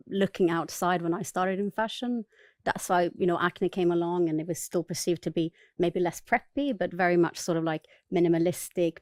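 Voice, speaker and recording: A woman's voice: fairly low pitch, a little mellow, dry recording. A woman from Sweden speaking as a retail buyer on a panel, enthusiastic and chatty with many fillers. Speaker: buying director, panelist. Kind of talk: panel discussion.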